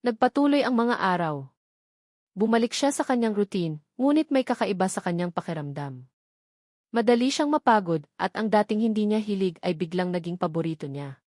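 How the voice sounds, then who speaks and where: A woman's voice: medium pitch, animated, normal speed, darkish tone, dry recording, clean studio sound. A woman with a Filipino accent reads a scripted romance story as its narrator, in a dramatic storytelling manner.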